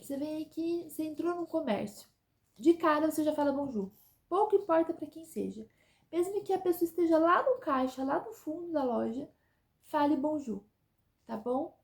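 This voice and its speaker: A woman's voice: sits high, vocal fry, a little mellow. A woman giving chatty advice to her viewers in a casual vlog, often checking in with "tá?" and "né?". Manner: chatty, repeats "tá?" and "né?". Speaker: vlogger living abroad.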